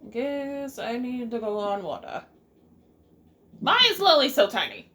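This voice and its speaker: Woman, high voice, very animated intonation, slow pace, casual reactive gaming commentary. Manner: reactive, muttering to herself. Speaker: gaming streamer. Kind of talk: casual let's play commentary.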